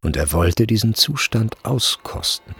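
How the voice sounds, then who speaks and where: Literary radio-play narrator, sober, measured, grave, low, gravelly, husky. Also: male voice, big pitch swings, a bit bright, full character voice. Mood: restrained, sober. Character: sober literary narrator of a tense boarding-school novel.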